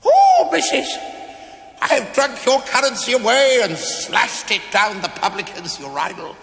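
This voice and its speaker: An actor playing a drunken, irreverent British stonemason in a television play, declaiming grandly and rapturously. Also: male voice, high voice, very animated, unhurried, vocal fry, a bit bright, full character voice. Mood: drunken rapture. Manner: declamatory, wildly swooping, grandiloquent. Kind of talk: theatrical television play acting. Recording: echoey hall, long reverb.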